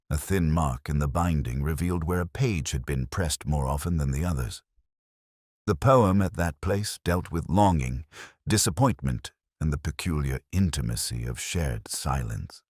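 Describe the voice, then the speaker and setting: Male actor as brooding police inspector, very deep voice, expressive intonation, measured literary narration, detective audio drama, dry close sound. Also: no room sound, heavily acted.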